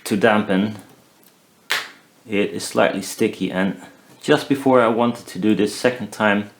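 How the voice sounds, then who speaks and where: A man casually narrating a hands-on DIY build, haltingly thinking aloud as he works. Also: fairly low pitch, noisy background. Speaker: DIY maker demonstrating a build.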